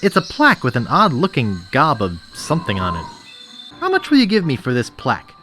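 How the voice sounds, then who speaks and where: An actor playing an eager young would-be pirate in an adventure game, earnest and boyish with a wry streak. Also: male voice, mid-range voice, huge pitch swings, a little fast. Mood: salesman-like. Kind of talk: animated adventure game dialogue. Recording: game music behind the voice.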